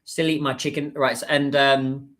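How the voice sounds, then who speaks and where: A man's voice: mid-range voice, little pitch movement, normal speed, a bit bright. A British man chatting casually on a livestream, rambling a little with plenty of fillers and restarts. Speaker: livestreamer and business content creator.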